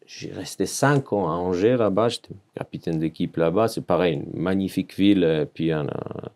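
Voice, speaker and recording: Low Serbian-accented man, mellow tone. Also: moderate pace. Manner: earnest, searching for words in French, repeats phrases. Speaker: podcast guest. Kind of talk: personal storytelling in an interview.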